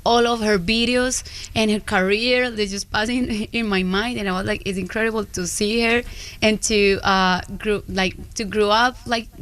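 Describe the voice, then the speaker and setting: A woman's voice: medium pitch, steady tone, moderate pace, a bit bright. A Colombian woman from Medellín, a native Spanish speaker, chatting as a guest in a radio interview with a strong accent, often searching for words. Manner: searching for words, many fillers and restarts. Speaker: guest singer.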